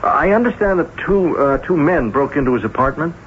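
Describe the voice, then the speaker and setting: An actor playing a soft-hearted American banker in a radio drama, polite, hesitant and easily flustered. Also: male voice, a little high, expressive, brisk, close to an everyday voice. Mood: probing, hesitant. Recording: muffled, noisy old radio broadcast.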